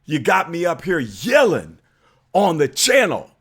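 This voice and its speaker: Hoarse, fired-up man ranting about sports. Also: on the high side, huge pitch swings, measured pace, a bit bright. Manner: emphatic, preachy, calls people out. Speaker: channel host. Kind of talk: fired-up sports opinion vlog.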